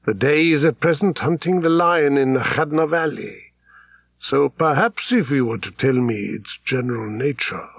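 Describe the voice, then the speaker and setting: A man's voice: medium pitch, normal speed, creaky, dry recording, clean studio sound, pitched above the performer's own voice. A man voicing a dignified middle-aged vizier, formal, courtly and gracious, in an audiobook. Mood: businesslike.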